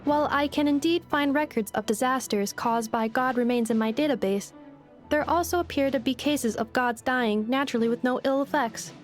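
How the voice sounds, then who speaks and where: A woman's voice: high voice, moderate pace, soft background music, close to an everyday voice. A woman voicing a polite female machine who speaks in a formal, precise way. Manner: formal, precise, announces queries.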